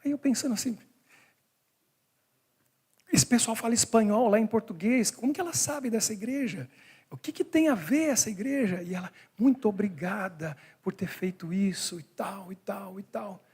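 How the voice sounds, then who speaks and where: Man, pastor, sermon, high voice, husky tone, speaks straight to the congregation. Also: moderate pace, darkish tone. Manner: conversational, talks to the congregation directly. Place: church hall in Brussels.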